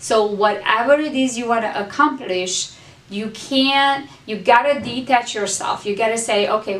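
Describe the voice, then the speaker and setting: A woman gives an earnest, coaching motivational talk and keeps repeating her key phrases to drive them home. Her voice sits at a middle pitch. She is some distance from the mic, and the room around her rings with echo. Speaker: host.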